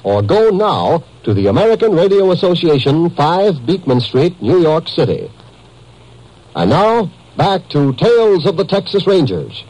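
Higher-pitched male radio announcer, lively intonation. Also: a little slow, low-quality recording. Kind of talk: 1950s scripted public service radio announcement. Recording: vintage radio broadcast.

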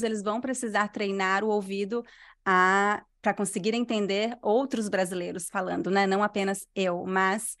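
A Brazilian woman with a mixed Brazilian Portuguese accent, a language teacher answering interview questions in clear, unhurried speech. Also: mid-range voice, fairly bright tone. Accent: Brazilian Portuguese, mixed regional. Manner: clear, unhurried diction, completes every phrase.

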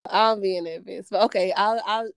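A woman's voice: medium pitch, fairly even intonation, moderate pace, vocal fry, clean tone, no echo, studio quality. Slightly nasal laid-back woman. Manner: laid-back, uses slang. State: recovering from a sinus infection. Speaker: podcast co-host. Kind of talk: casual chat.

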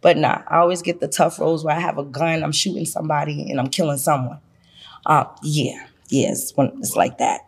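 A woman's voice: low-pitched, brisk, husky. A woman with a heavy Boston accent, a guest talking casually and laughing often, with plenty of ums. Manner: lively, laughing, many ums. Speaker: guest, actress. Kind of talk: casual interview chat.

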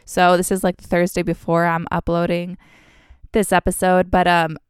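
A woman's voice: lowish voice, monotone, moderate pace, clean tone, no echo, studio quality. A young woman hosting a true crime podcast in a casual, chatty way. She has a cold and sounds a bit congested, and she fills her talk with "like" and "um". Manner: chatty, lots of "like" and "um" fillers. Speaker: podcast host.

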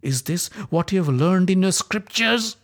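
A man's voice: expressive, a little slow. A man voicing a proud young queen who screams and rages in a storybook reading. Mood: indignant. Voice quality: shrill, screaming.

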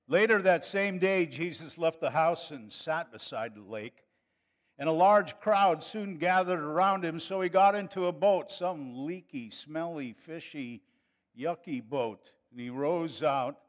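An older man from northern Wisconsin with an Upper Midwest accent, preaching a folksy, chatty sermon that builds to fervent passages. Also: fairly high pitch. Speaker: pastor.

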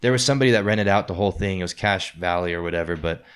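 A young man with a deep, projecting voice chatting casually with friends, joking and quoting movie lines. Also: racing, fairly bright tone, clean tone. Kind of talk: casual chat among friends.

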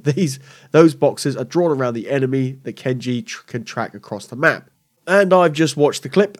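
A man's voice: medium pitch, darkish tone. A man hosting a casual solo gaming news podcast, stuffy with a cold, rambling and correcting himself as he goes. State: congested with a cold. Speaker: podcast host.

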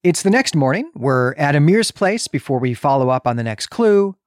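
A man's voice: fairly high pitch, very animated, moderate pace, dry recording, clean studio sound. A man co-hosting a podcast in an earnest, emphatic, conversational style. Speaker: podcast co-host. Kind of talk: conversational film discussion and plot synopsis.

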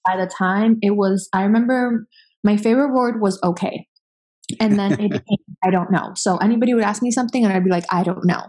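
A woman in her thirties, born in Venezuela, talking as a podcast guest. She runs her stories together in quick, chatty sentences full of fillers. Her voice sits at an ordinary middle pitch for a woman. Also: a little mellow. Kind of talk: casual conversational interview.

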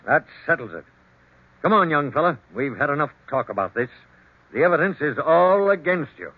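An American actor playing a brusque investigator in a 1940s radio mystery, giving forceful, clipped orders. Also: male voice, a little high, very animated, normal speed, husky, dry recording, heavily acted. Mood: stern, accusing. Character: brusque, commanding male investigator confronting a suspect. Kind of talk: 1940s radio drama acting. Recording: thin, muffled old radio recording.

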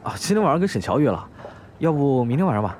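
Man acting a mild, expressive young friend. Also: mid-range voice, quick pace, a little hiss or hum, natural voice. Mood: mild, reflective. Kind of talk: Chinese audio drama acting.

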